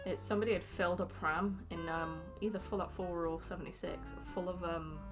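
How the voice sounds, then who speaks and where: A woman's voice: fairly low pitch, steady tone, a little fast. Informal joking woman, music underneath. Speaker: video co-host. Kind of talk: casual chat, reading out and discussing comments.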